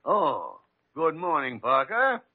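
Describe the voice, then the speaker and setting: An older actor playing a wry, teasing senior doctor in 1940s radio drama, droll. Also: male voice, on the high side, wildly swooping pitch, slow, narrow, tinny audio, slight background noise, heavily acted. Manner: sardonic, droll. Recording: thin, band-limited old radio recording.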